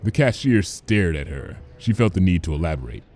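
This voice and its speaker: A man's voice: low-pitched, sing-song, brisk, a little mellow. A man reading a story aloud as narrator, in a scripted storybook style. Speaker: fan fiction reader, narrator.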